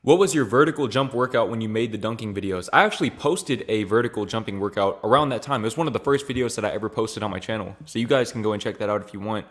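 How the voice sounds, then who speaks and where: A young man from Louisiana, raised in Texas, answering viewer questions in a relaxed vlog and tossing in "bro" and "man" as he talks. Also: lowish voice, fast, few pauses. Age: young adult. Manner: laid-back, slangy, repeats phrases with "bro" and "man". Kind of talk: casual Q&A vlog.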